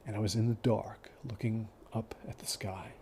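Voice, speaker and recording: An actor playing a dazed, delusional injured man recounting an ordeal in an audio horror drama, halting and detached, with hushed phrases. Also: fairly low pitch, steady tone, creaky, slight background noise. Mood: strained. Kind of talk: first-person audio horror drama monologue.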